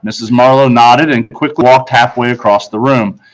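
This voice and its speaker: Man reading a children's story, medium pitch. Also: steady tone, normal speed, low-quality recording. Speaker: teacher reading aloud. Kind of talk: children's book narration.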